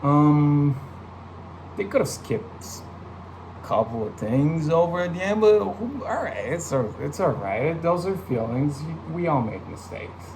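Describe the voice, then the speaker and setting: A man's voice: on the high side, very animated, measured pace, darkish tone, a little hiss or hum, rough recording. A young man giving a casual, reflective personal update from his home studio, with many fillers and restarts. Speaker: vlogger and musician.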